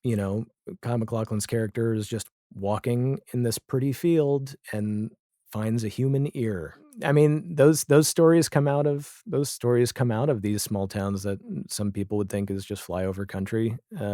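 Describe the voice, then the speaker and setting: A man's voice: on the low side, normal speed, dark tone, dry recording, studio quality. A man speaking as a podcast guest in a casual, reflective interview conversation, explaining his thoughts with examples. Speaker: podcast guest, audio drama creator.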